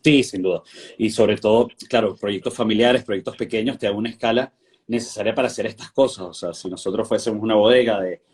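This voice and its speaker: A man in his thirties from Venezuela, answering interview questions in a relaxed, thoughtful way with frequent fillers and restarts. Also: fairly low pitch, brisk. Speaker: guest, winery director.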